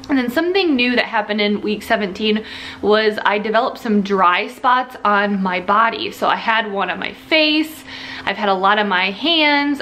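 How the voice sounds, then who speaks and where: A woman's voice: mid-range voice, fairly bright tone, slight background noise. A young woman from Ohio giving a casual, rambling vlog update, restarting sentences and laughing a little. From Ohio, United States. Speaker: vlogger.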